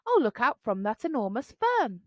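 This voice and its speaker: A woman voicing a cheeky, boastful young boy dinosaur for a storybook, teasing and shouty. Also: fairly high pitch, big pitch swings, moderate pace, no echo, muffled recording.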